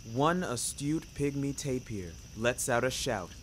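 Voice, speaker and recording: A man's voice: mid-range voice, expressive, measured pace, heavy background noise. A man narrating a scripted first-person story in a wry, florid, dramatic manner. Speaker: narrator of a fiction podcast. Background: music under the voice.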